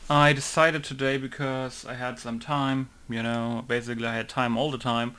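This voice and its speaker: Young male modder, smooth clear voice, loose rambling delivery with lots of ums, casual screen-recording commentary. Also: medium pitch, flat delivery, normal speed, sharp tone. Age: young adult. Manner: rambling, many fillers and self-corrections. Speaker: game modder.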